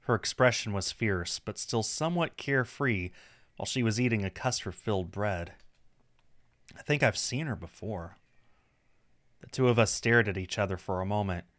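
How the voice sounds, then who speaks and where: A man with a fairly low voice reads a visual novel aloud on a stream. His delivery is expressive, scripted narration. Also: dry recording.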